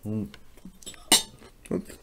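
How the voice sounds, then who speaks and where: A man's voice: very slow, dark tone. A man hosting a casual hobby stream, chatting and talking to himself as he works with his hands. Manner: talks to himself while working. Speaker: host. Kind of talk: casual hobby livestream chat while building a model kit.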